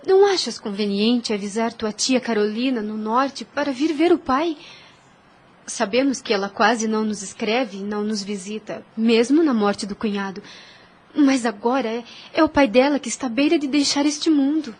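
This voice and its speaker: An actress plays a gentle, devoted young nurse in a period radio play. Her voice is somewhat high, and her delivery is formal, patient and composed. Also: normal speed, slightly rough. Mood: gentle, concerned. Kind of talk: religious audio drama acting.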